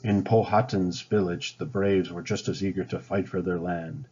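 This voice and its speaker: A man reading a children's storybook aloud with dramatic, expressive narration. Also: low voice, moderate pace, a little hiss or hum. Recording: slightly dull, band-limited sound.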